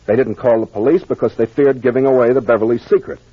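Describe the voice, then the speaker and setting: An American man playing a confident detective captain in a 1940s radio mystery, explaining things deliberately and with authority. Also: mid-range voice, husky, lightly acted. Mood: assured, explanatory. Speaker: actor playing the investigator. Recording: muffled old radio recording.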